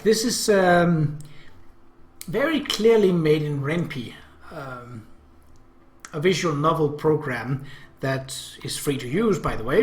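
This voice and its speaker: A let's play host commenting on a game and reading its text aloud, breaking off into hesitant, critical asides. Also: mid-range voice. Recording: echoey room.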